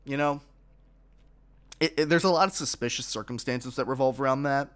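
A man's voice: medium pitch, a little fast, fairly bright tone. A man hosting his own sports podcast, giving opinions with many fillers and restarts, a little congested from a frog in his throat.

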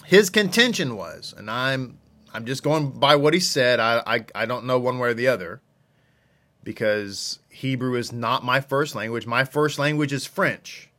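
A man's voice: mid-range voice, a little slow, pushed and bright. A man giving an informal scripture lesson as a religious teacher, repeating phrases for emphasis. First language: English.

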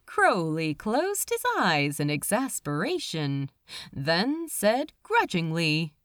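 Woman, audiobook narrator, slow pace, animated intonation, dramatic storytelling, scripted fiction. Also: fairly low pitch, no echo, studio quality.

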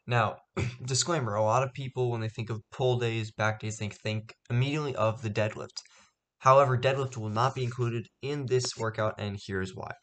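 A man's voice: lowish voice, fairly bright tone. A young man hosting his own fitness podcast, talking casually with lots of ums and restarts, a bit stuffed up, on a poor microphone. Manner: rambling, many ums and restarts. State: stuffed up nose. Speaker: podcast host. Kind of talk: casual solo podcast talk.

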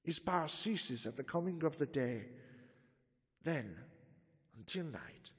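A man's voice: fairly high pitch, lively intonation, slightly rough, big-room sound. A man playing a grave old vampire-hunting professor. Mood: solemn. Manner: solemn, commanding, slow and deliberate. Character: grave elderly male vampire hunter professor. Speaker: Van Helsing. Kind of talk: 1930s radio drama acting.

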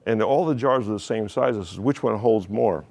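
A man's voice: fairly low pitch, moderate pace, gravelly, darkish tone. Man, pastor, conversational sermon, asks the congregation questions, restarts his phrases.

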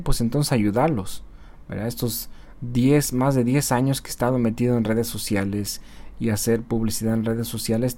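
A man, speaking at a mid pitch in a relaxed, conversational way. He talks like a YouTuber encouraging his viewers, dropping in frequent tag questions and hesitation fillers as he thinks aloud. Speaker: social media marketer and YouTuber. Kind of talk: casual motivational monologue.

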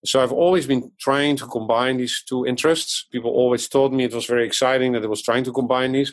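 A middle-aged man from the Netherlands with a Dutch accent, a surgeon and company founder answering podcast interview questions in a thoughtful, explanatory way with frequent restarts. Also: mid-range voice.

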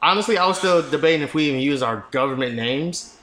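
A man's voice: fairly high pitch, animated, fast talker, echoey room, background noise. A young man co-hosting a podcast, casual and profane, joking and laughing often. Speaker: podcast co-host. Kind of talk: casual chat.

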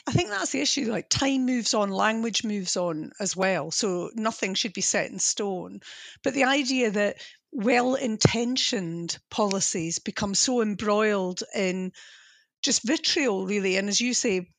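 A woman's voice: medium pitch, animated, a little slow, gravelly, fairly bright tone, dry recording. A woman interviewer speaking conversationally as she reflects and puts questions to her guest.

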